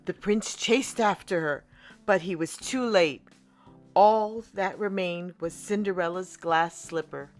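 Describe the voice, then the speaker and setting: A woman host reads a children's storybook aloud in a slightly low voice. She takes her time, stressing words and pausing for drama, while faint music plays behind her.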